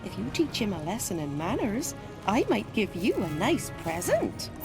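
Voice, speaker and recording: A woman playing a doting, excitable and genteel gardener in a video game, with a theatrical, quaint manner. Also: mid-range voice, normal speed. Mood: indignant. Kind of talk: video game character acting. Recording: music behind the voice.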